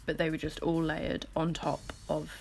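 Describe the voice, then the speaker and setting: Croaky, low-voiced woman doing casual voiceover. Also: completely flat delivery, moderate pace. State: croaky morning voice, just woke up. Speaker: artist making painting process videos. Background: music.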